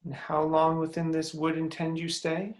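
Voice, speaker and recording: A man's voice: on the high side, monotone, moderate pace, natural voice. A man playing a jealous, commanding fairy king in a theatrical, declamatory reading of Shakespearean verse. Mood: demanding. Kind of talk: Shakespearean verse table read. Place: remote video call reading.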